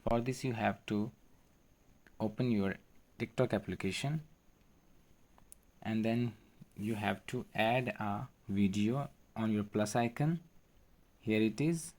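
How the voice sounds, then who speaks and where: A man with a fairly low voice narrates a step-by-step app tutorial. He speaks as the host, walking the listener through instructions. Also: takes it slowly, long pauses, darkish tone.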